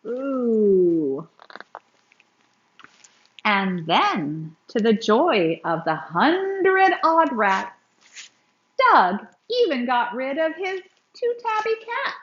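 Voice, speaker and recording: A woman reading a children's story aloud, expressively, with dramatic emphasis and drawn-out words. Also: a little high, sing-song, reverberant, dull audio. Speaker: children's book reader.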